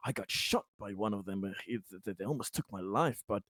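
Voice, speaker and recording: A man playing an awkwardly friendly, boastful colonist in an improvised tabletop game, halting and over-polite as he talks. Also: lowish voice, fairly bright tone, no room sound, clean studio sound. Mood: eager, boastful.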